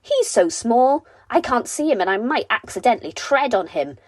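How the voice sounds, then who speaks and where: A woman voicing a young gnome bully with a loud, mocking drawl in a children's storybook. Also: fairly high pitch, sing-song, moderate pace, no echo, clean studio sound, pitched above the performer's own voice.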